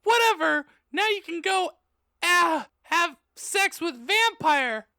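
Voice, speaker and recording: Man putting on a shrill, whiny teenage goth girl. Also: animated, slow, vocal fry, bright, forceful tone, no room sound, studio quality, heavily acted. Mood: angry, anguished. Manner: whiny, overdramatic.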